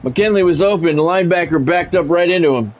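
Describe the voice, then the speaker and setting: A man's voice: high voice, fast, phone-quality sound, faint music behind. A man doing play-by-play of his own football video game in short, blunt phrases, mixed with casual gamer talk.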